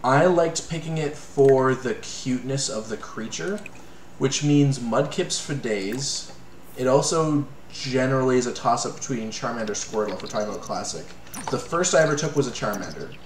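A young man from Canada, co-hosting a live game stream in casual chat, rambling and joking with quick asides. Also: mid-range voice, echoey room, slight background noise. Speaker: let's play co-host. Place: small room at home.

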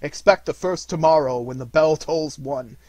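A man voicing the anguished ghost of a dead miserly businessman in a storybook, lamenting solemnly and slowly, from hushed to loud. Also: fairly high pitch, no room sound, pitched above the performer's own voice, natural voice. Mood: solemn warning.

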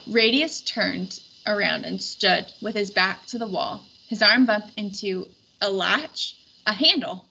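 A woman reading a storybook aloud in expressive scripted narration. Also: mid-range voice, a little slow, vocal fry, hardly any pauses, breathy edge. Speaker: storybook reader. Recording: dull recording with faint background noise.

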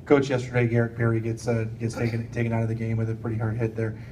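A man's voice: medium pitch, monotone, quick pace, a little mellow. A man working as a sports reporter, putting questions at a press conference. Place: indoor press conference room. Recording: distant mic, faint room noise.

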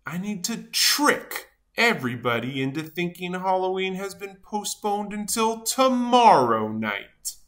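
A woman's voice: medium pitch, very animated, a little slow, few pauses. A woman voicing a grumpy, dramatic but good-hearted male sunflower seed in a children's storybook, with theatrical, self-important delivery. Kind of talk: animated children's storybook read-aloud.

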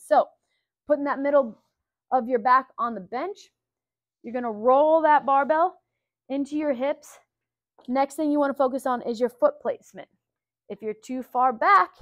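Woman coach in her 30s, upbeat, bright higher voice, dry close sound, gym tutorial. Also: no echo. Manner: upbeat, instructive, checks in with "okay?". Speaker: fitness coach. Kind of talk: exercise tutorial. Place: indoor gym.

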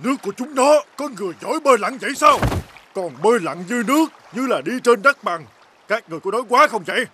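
A high-voiced enemy commander from an animated folk tale. His delivery swings widely and dramatically. He is imperious, irritable and full of bluster toward his soldiers. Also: quick pace, noisy background. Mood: incredulous. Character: arrogant, irritable enemy war commander threatening his men.

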